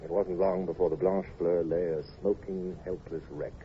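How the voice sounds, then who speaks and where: An actor playing a stern, reflective British naval commodore in an old-time radio drama, speaking in a formal, measured way. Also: male voice, sits low, fairly even intonation, slight background noise, natural voice. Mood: somber, reflective. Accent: British English. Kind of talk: old-time radio drama acting and first-person narration. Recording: muffled old radio recording.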